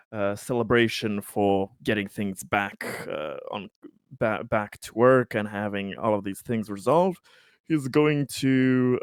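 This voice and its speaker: Man, tabletop roleplayer, halting casual narration, clean smooth voice, very dry close sound. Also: on the low side, dry recording. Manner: halting, hesitant phrasing with long pauses. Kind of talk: casual in-game narration of their character's plans.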